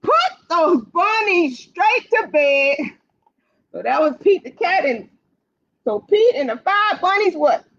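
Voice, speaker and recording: A woman hosting storytime for young children, reading aloud in an animated, sing-song way and putting questions straight to the kids. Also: extremely high pitch, takes it slowly. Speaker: library storytime host for children. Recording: dull, lo-fi livestream sound.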